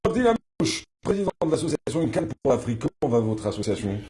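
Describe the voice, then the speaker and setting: A man hosting a morning TV show, interviewing his guests in the studio and steering them with brisk, leading questions. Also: medium pitch, big pitch swings, noisy background. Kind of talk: broadcast interview.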